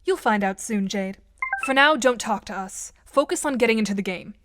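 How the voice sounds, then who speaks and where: A woman playing a dryly witty, superior teenage girl in an audio drama, clipped, deadpan and bossy. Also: medium pitch, moderate pace, lightly acted. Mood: dry, curt. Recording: clearly noisy, clicking line.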